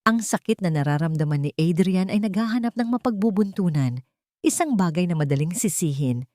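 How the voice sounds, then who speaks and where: A woman narrating a romantic drama story from a script in a measured, dramatic, phrase-by-phrase manner. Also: on the low side, animated, warm tone, no echo, clean studio sound.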